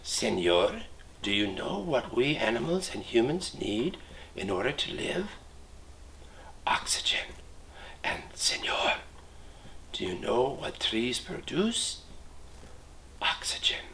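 A man's voice: mid-range voice, very animated, takes it slowly, pauses often, sharp tone, heavily acted. A man voicing tree porcupines who whisper to a sleeping man. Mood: secretive.

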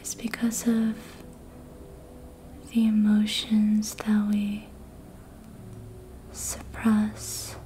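A woman whispering softly and breathily in a gentle, soothing ASMR style, guiding a facial treatment in short phrases. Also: mid-range voice, completely flat delivery, very slow, long pauses, slight background noise. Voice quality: soft, breathy unvoiced whisper. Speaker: host, reiki and facial massage practitioner. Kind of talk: ASMR, slow guided narration of a treatment.

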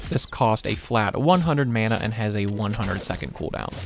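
A man's voice: on the low side, lively intonation, moderate pace, vocal fry. A young man hosting a gaming guide, explaining in a matter-of-fact, informative way. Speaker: gaming video host. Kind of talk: explanatory game guide narration.

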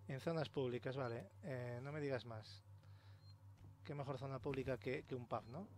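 A man's voice: mid-range voice, moderate pace, darkish tone. A man with a Spain accent giving casual, sweary gameplay commentary as host. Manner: sweary, colloquial. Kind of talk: casual let's play commentary. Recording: noisy game ambience behind voice.

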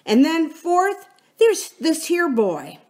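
Woman acting a blunt mutinous pirate, lively pitch. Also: slow, exaggerated voice. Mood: accusing. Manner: blunt, rough sailor talk. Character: accusing, mutinous pirate crewman, a lanky man. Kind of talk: dramatic storybook character reading.

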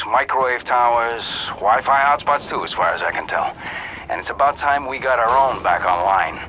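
Game tech officer, gruff and sardonic, medium pitch, dry briefing delivery. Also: male voice, pushed and bright, a little hiss or hum, low-quality recording. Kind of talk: mission briefing.

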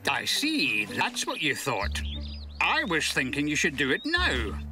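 A man voicing a patient old farmer in a cartoon, kindly but gently firm and chiding. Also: a little high, wildly swooping pitch, a little slow. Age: older. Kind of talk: cartoon voice acting. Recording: music under the voice.

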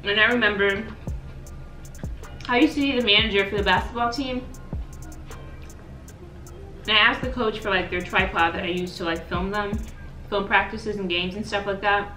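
A woman's voice: medium pitch, moderate pace, long pauses, sharp tone, echoey room, faint music behind, poor audio. A young woman in her twenties chatting casually while eating on camera in her living room, with lots of "like". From United States. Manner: chatty, eating between sentences, frequent "like". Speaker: YouTuber. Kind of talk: casual mukbang chat. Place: living room, seated on floor by sofa. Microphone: camera on tripod at a distance.

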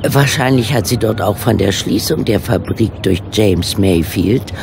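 Casting: methodical radio-mystery investigator, gravelly, precise and earnest, with noticeable ambient noise behind him. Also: male voice, fairly low pitch, a little slow. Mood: earnest, reasoning. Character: patient, methodical male amateur detective, calmly reasoning. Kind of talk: German detective audio drama acting.

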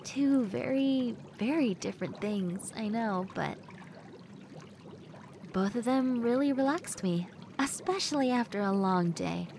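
Woman acting unhurried, flirty crush over bubbling water. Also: fairly high pitch, vocal fry. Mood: relaxed, content. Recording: steady running water noise behind voice. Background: bubbling hot tub water sounds.

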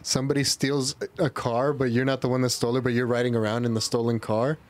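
A man's voice: medium pitch, level tone, fast. Questioning young man, casual and slangy. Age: young adult. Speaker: podcast panelist. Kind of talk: casual group chat. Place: small room with couches, indoors.

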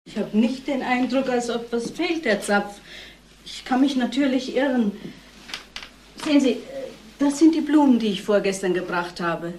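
An actress playing a reserved, matter-of-fact niece questioned by police in a German crime radio play. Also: female voice, on the high side, normal speed, a little mellow, slight background noise, close to an everyday voice, rough recording. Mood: regretful.

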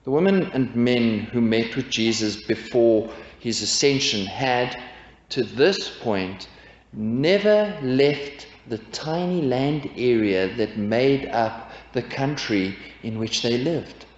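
A man in his forties preaching a sermon from a pulpit, asking his congregation rhetorical questions in a measured way. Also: mid-range voice, expressive. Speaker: pastor. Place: church, indoors at a pulpit. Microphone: pulpit stand mic. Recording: echoey church, dull lo-fi sound.

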